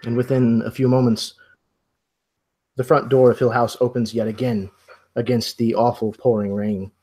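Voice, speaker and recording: A man's voice: mid-range voice, darkish tone, breathy edge. A man acting as game master, narrating a tabletop mystery role-playing session.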